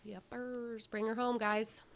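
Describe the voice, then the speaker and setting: A woman's voice: a little high, flat delivery, takes it slowly. A woman co-hosting a live broadcast segment on missing persons, bubbly and playful between earnest readings of case details. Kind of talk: casual live show chat.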